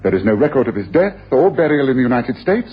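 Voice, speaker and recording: A commanding, animated radio-drama detective. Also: male voice, mid-range voice, fast, husky. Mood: accusing. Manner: theatrical, incisive, domineering. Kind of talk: 1980s radio drama acting. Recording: dull, noisy old radio broadcast.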